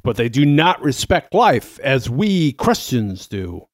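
A man's voice: medium pitch, sing-song, slow, dry recording, clean studio sound. A man playing a stern old cardinal in an audio drama, grave, suspicious and zealous, with a sermonizing manner.

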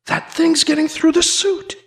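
A man voicing a pained spacefarer in an audiobook, shouting urgent orders in distress. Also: very high-pitched, fairly even intonation, fast talker, pitched above the performer's own voice. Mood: alarmed. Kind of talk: audiobook character acting.

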